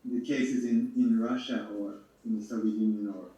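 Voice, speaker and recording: A man's voice: medium pitch, steady tone, normal speed, dark tone. A man born in New York and raised in Japan, speaking hesitantly and hedging his points in a seminar discussion. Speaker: seminar participant. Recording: roomy, dull, from far off.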